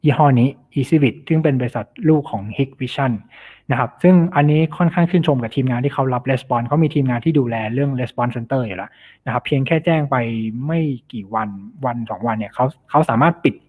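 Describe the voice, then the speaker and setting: A man's voice: medium pitch, a little fast, slightly rough. A man giving an informal technical presentation as a cybersecurity specialist, explaining things and mixing in English technical terms. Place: online meetup over slides. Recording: thin phone-like online meeting audio.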